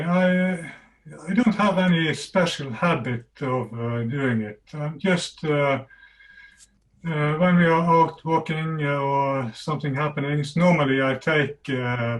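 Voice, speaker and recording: A man from Norway with a Norwegian accent, answering interview questions in halting English with frequent hesitant pauses. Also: a little high. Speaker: interviewee, app user.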